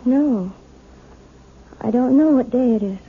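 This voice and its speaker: Entranced woman gone childlike, high voice, slow, halting, tinny old radio sound. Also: slightly rough, full character voice. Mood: dreamy. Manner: dreamy and halting, childlike, tearful. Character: hypnotized woman regressing to a scared girl. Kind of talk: 1970s radio drama acting.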